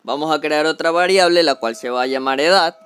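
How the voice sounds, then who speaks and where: A man's voice: a little high, a little fast, a bit bright, clear, resonant tone, no echo. A young man explaining a coding tutorial step by step, talking through each action as he does it on screen. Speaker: programming tutor.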